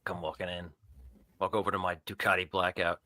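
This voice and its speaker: A man's voice: low voice, flat delivery, a little fast, pushed and bright, no echo. A man improvising first-person narration as a player in a tabletop roleplaying game.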